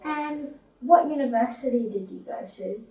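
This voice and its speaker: Soft-spoken New girl, echoey and distant. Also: fairly high pitch, expressive, normal speed. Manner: polite and hesitant, starting with um. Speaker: student interviewer. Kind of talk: reading prepared interview questions. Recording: roomy sound from far off the mic.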